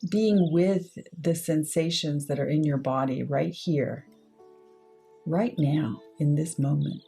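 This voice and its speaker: A woman with a low, slightly husky voice guides a meditation. Her tone is mellow and relaxed, and she delivers short, measured phrases with unhurried pauses between them. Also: faint music behind. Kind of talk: guided meditation, slow soothing instruction.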